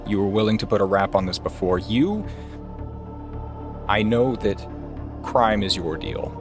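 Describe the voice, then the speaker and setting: A man playing an earnest, weary private investigator in a tabletop game, speaking in a deliberate, solemn way over music. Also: on the low side, natural voice. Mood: earnest, grave. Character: earnest, weary male private investigator pleading his case. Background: music behind the voice.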